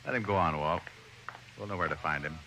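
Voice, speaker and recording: An actor playing a determined, quick-witted private detective with dry humor and a brisk, assured manner in an old-time radio drama. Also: male voice, sits low, gravelly, background noise. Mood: musing, intent.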